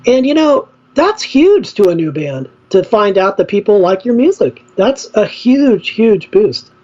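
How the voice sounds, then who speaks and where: A man's voice: high-pitched, big pitch swings, measured pace, darkish tone, highs cut off. A man who runs a record label, a guest on a podcast, speaking with upbeat enthusiasm. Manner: upbeat and enthusiastic, laughs easily. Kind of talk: casual podcast interview.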